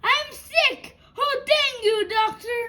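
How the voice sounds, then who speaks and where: An anxious, sneezy storybook elephant acted by a child, exclaiming dramatically. The voice is very high and bright, and the words come very slowly. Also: heavily acted. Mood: relieved, excited.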